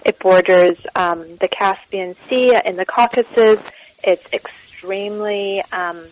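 A woman's voice: mid-range voice, slow pace, highs cut off, a little hiss or hum. A woman from Iran, a cookbook author, speaking enthusiastically in a radio interview with frequent ums. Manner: warm, enthusiastic, many ums.

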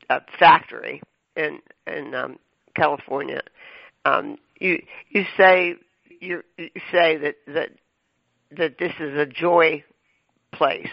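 A man hosting a radio show, asking halting, stammering questions and laughing often. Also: a little high, vocal fry, dry recording. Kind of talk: radio interview. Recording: dry, dull lo-fi radio sound.

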